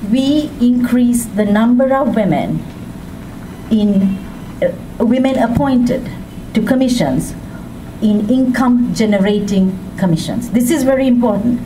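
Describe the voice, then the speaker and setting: A woman from Sri Lanka giving a public speech as a women's rights advocate, with a measured, deliberate delivery and emphatic rises. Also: a little high, dark tone. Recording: distant mic with background noise.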